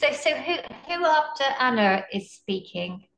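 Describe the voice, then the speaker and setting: A woman's voice: sits high, big pitch swings, measured pace, creaky, a bit bright, slight background noise, low-quality recording. A woman moderating a student debate over a video call, friendly and encouraging, sometimes unsure who speaks next. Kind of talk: informal event hosting on a video call.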